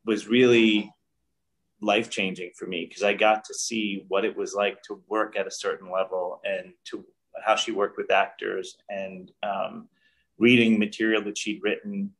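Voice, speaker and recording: A middle-aged man speaks in a lowish, unhurried voice, reflecting earnestly and often restarting his sentences. He is talking from a room at home over a webcam call. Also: flat delivery, rough recording. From New York. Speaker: guest director. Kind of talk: casual interview. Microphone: video call webcam.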